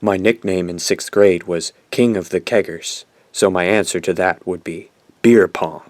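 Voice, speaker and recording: A man's voice: deep voice, fairly even intonation, a little slow, darkish tone, no echo. An actor playing a smug, self-absorbed teenage boy in an audio drama, narrating his confession in a pompous, dramatic way. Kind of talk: audio drama acting, first-person narration.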